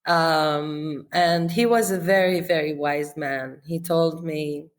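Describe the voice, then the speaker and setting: A woman's voice: low-pitched, takes it slowly. A woman, a chef being interviewed, talking in a reflective way with fillers, restarts and frequent laughs. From Israel. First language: Arabic. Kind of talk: relaxed conversational interview.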